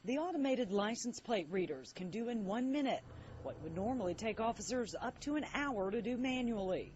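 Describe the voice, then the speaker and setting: A woman's voice: a little high, animated, normal speed, a little mellow, lo-fi sound, a little hiss or hum. A woman delivering a scripted television-style news report as a reporter.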